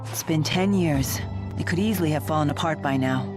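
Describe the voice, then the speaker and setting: A low-pitched, stately young woman played by a woman, composed and frank. She speaks formally and with measured care, like an anime game heroine, over clear music. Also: lightly acted. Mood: matter-of-fact. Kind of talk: anime game dub acting. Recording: music behind.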